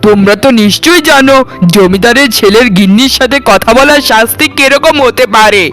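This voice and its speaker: Man voicing a high-pitched, righteous young landlord's son. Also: fairly quick, fairly bright tone, faint music behind. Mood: stern, angry. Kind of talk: Bengali cartoon voice acting.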